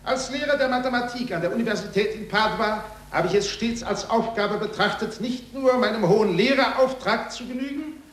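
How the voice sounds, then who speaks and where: Ceremonious male orator, high-pitched and declamatory, vintage radio drama, reverberant. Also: fairly bright tone. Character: pompous male university official addressing Venetian nobles. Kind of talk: 1940s radio acting. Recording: echoey 1940s radio recording.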